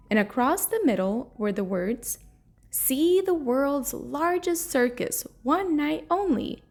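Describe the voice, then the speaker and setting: A woman reading a children's story aloud in an expressive, storytelling manner. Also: on the high side. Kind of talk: children's read-aloud narration. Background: soft music.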